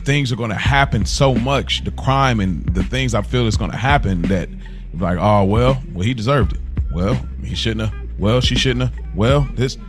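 A man co-hosting a podcast in earnest, casual chat, often repeating and rephrasing himself as he goes. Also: mid-range voice. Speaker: podcast co-host.

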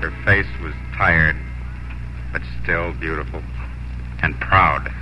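An actor playing a determined young Texan home from war, earnest and resolute, in 1950s radio drama style. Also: male voice, very deep voice, fairly even intonation, takes it slowly. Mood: wistful narration. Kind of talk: 1950s radio drama acting and first-person narration. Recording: dull 1950s radio recording, music behind.

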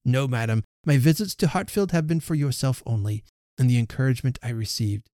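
Audiobook: vain, affronted young parson, as a male narrator puts him on, gushing then haughty, gravelly edge, dry sound. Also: mid-range voice, sing-song, moderate pace, mellow, no echo. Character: pompous, affronted young clergyman suitor.

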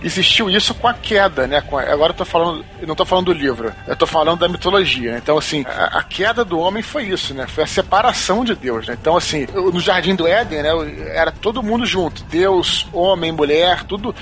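A Brazilian man speaks in a fairly high voice, explaining things conversationally. His delivery is informal, with small tag questions dotted through his sentences. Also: animated, quick pace, few pauses, a bit bright, a little hiss or hum. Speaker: author guest. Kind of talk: casual explanatory chat.

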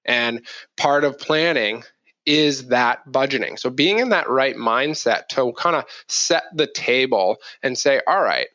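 A man's voice: mid-range voice, a bit bright, no echo. A man explaining things conversationally as an interview guest, often posing rhetorical questions, on slightly muffled audio. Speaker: podcast guest, marketing executive.

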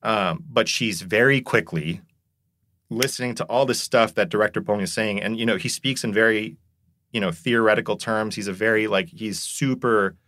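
A man's voice: lowish voice, normal speed, a bit bright, no room sound. A man who grew up in Korea, a podcast guest chatting casually and rambling with lots of filler words. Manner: rambling, heavy use of "like" and "you know". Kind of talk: casual conversation.

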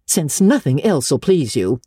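Woman as a stern, husky older farm woman. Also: sits low, very animated, unhurried, mellow, no echo, clean studio sound, full character voice. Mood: tart, scolding. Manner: clipped, scolding, no-nonsense.

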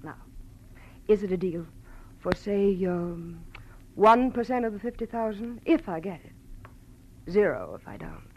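A woman acting a brassy, sharp-tongued pulp writer in a 1940s radio drama, American accent, sassy, cool and teasing. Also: medium pitch, big pitch swings, unhurried, long pauses, muffled recording. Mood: sly, bargaining. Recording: noisy old radio recording.

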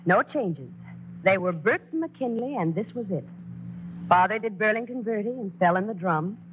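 A woman's voice: mid-range voice, lively intonation, normal speed, a little hiss or hum, close to an everyday voice. An actress narrating as a grown daughter fondly recalling her family in a 1940s radio drama. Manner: fond, storytelling. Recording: dull old radio broadcast recording.

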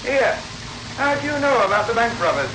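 An echoey, noisy old radio recording carries a stern, officious policeman. His voice is high for a man, clipped and suspicious, in the manner of 1960s suspense drama. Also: sing-song, fairly quick. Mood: commanding.

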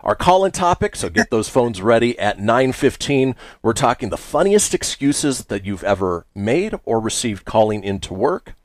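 Male radio show host, animated and emphatic with chatty asides, recorded dry and close. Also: mid-range voice, moderate pace, few pauses, no room sound. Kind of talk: upbeat radio show hosting. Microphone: close studio radio mic.

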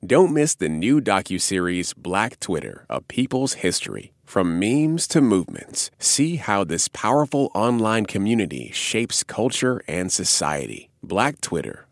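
A man reads a sponsor message in a polished announcer style. His voice is very deep but lively in its rise and fall. The recording is dry and close. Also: measured pace, vocal fry, few pauses, dry recording. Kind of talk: sponsor read. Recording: dry close studio sound.

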